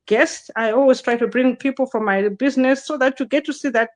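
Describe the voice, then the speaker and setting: A woman's voice: sits high, a little fast, no room sound. A woman hosting a live online interview as a business consultant, chatting with frequent restarts and fillers over a video call. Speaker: host, digital business consultant.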